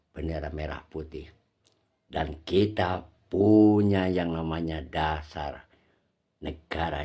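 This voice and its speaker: Husky, very deep-voiced man lecturing. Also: darkish tone. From Indonesia. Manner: emphatic, lecturing, scolding. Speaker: nationalist commentator. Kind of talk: impromptu political monologue.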